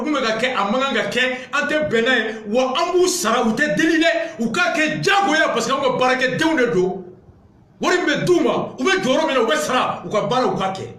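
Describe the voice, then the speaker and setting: Malian guy doing political commentary, really high voice, emphatic and indignant, roomy echo. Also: a bit bright. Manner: emphatic, indignant, repetitive rhetorical build-ups. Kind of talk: impassioned political monologue mixed with reading aloud.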